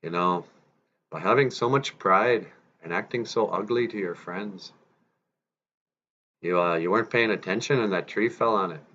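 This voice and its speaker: A man's voice: low-pitched, normal speed, pauses often, bright, forceful tone, highs cut off. A man voicing a wise, admonishing Great Spirit in a folktale. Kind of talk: animal folktale storytelling.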